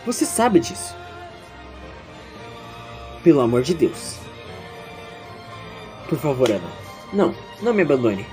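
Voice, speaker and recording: A man's voice: medium pitch, big pitch swings, unhurried, long pauses, warm tone, soft background music. A man with a Brazilian accent playing an anxious, insecure, ambitious teenage schoolboy in a dramatic audio drama, speaking emotively and emphatically. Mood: desperate, pleading. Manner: emotive, emphatic, repeating words when agitated. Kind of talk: dramatic first-person narration and dialogue in audio drama.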